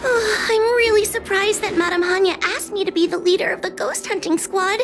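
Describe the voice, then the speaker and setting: A woman's voice: very high-pitched, fairly even intonation, a little fast, background noise. A woman voicing a timid, anxious young girl in an anime game, hesitant and stammering but eager to please. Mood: nervous, eager. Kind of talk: anime game dialogue acting. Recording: music behind the voice.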